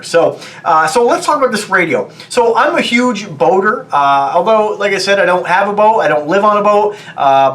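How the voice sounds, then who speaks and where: A man's voice: high voice, fairly bright tone. A middle-aged man reviewing a product in a casual, rambling vlog, upbeat and chatty, with lots of fillers.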